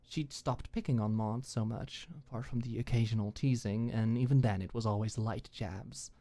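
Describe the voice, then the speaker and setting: A woman's voice: rumbling low, fairly even intonation, moderate pace, warm tone. A woman reading scripted story narration in an ASMR audiobook style.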